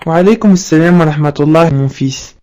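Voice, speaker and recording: A woman voicing a calm wise cartoon father. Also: low-pitched, fairly quick, lightly acted. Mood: patient teaching. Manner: gentle, patient, explaining. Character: calm wise father teaching his son. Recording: dull lo-fi cartoon audio.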